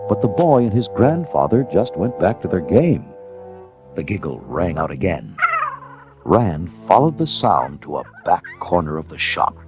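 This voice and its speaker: Very deep, gravelly male storybook narrator. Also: big pitch swings. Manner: dramatic, measured storyteller's delivery. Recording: music under the narration. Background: music.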